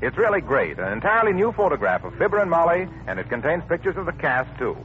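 Lively high-pitched male radio announcer. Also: fast. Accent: American. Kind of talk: 1940s radio advertising read. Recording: dull, noisy old radio recording.

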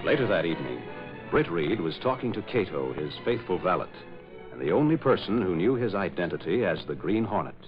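Emphatic male vintage radio announcer, very lively pitch. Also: fairly quick, loud music behind the voice. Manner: emphatic, formal, dramatic delivery. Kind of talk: 1940s radio announcing, scripted narration. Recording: muffled old radio broadcast.

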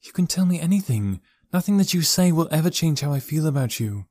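Casting: male reader as fiery teen girl for audiobook, high voice, hurt, demanding, dry recording. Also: expressive, fairly quick, a little mellow, no room sound. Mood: earnest, confused. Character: hot-tempered, defiant teenage girl, hurt and demanding. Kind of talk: audiobook character dialogue.

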